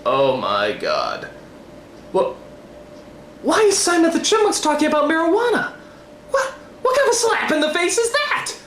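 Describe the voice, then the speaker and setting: A man's voice: very high voice, wildly swooping pitch, normal speed, long pauses. An American man hosting a scripted comedy review, theatrically incredulous and given to exasperated ranting. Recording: roomy echo, faint background noise.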